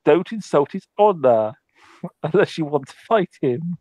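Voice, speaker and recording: A man's voice: a little high, expressive, unhurried, mellow, dry recording. A man speaking in character during a tabletop roleplay, hesitant, with many ums and restarts.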